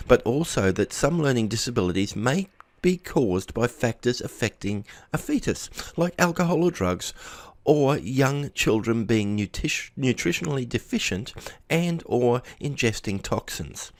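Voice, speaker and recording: A man narrates a written article in a low voice with very animated intonation. He reads from a script and now and then trips on a word before rereading it. The sound is dry, with hardly any room around it. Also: dry recording. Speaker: article reader.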